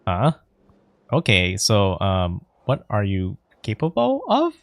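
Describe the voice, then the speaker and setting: Lively, dry-miked streamer with a low voice. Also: takes it slowly, no echo. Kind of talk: casual playthrough commentary.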